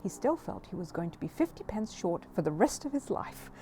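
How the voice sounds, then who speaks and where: A woman reading a children's story aloud as an expressive narrator. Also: mid-range voice, a little fast, slight background noise. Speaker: audiobook reader.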